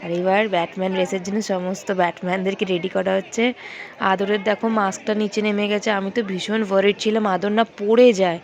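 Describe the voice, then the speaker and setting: A woman's voice: medium pitch, level tone, quick pace, a crowd in the background. A woman in her thirties casually narrating her son's school sports day, chatty and mixing English words in.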